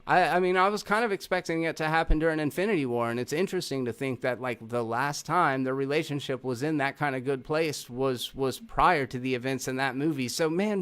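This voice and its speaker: A man hosts a relaxed film discussion in a fairly high voice. He talks casually and slangily, dropping swear words as he goes. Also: clear, resonant tone. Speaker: podcast host. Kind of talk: casual movie review chat.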